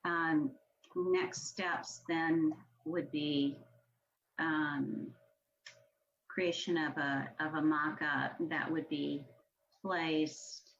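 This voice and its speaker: A low-voiced woman handles procedure at a public meeting, speaking slowly and carefully. She pauses as she clarifies. The audio is a little dull, with faint noise from the online call. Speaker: commission staff member running the roll-call vote.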